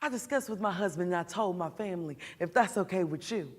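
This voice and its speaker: A woman's voice: on the low side, expressive. Woman acting a bitter, wounded, defensive mother. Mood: tense, subdued. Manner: halting, defensive, with pointed rhetorical questions. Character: embittered, wounded mother deciding her attacker's punishment. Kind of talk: dramatic stage monologue.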